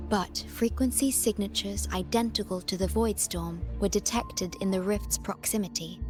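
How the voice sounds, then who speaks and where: A woman voicing a calm, clinical medical researcher in a video game, speaking in measured, formal and precise phrases. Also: medium pitch, natural voice. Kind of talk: scripted video game dialogue.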